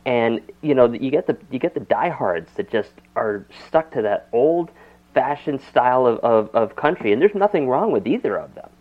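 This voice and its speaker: A man's voice: mid-range voice, expressive, moderate pace. A man hosting a radio interview in a casual, conversational way, often stumbling and repeating words. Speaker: radio show host. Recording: tinny phone-line sound.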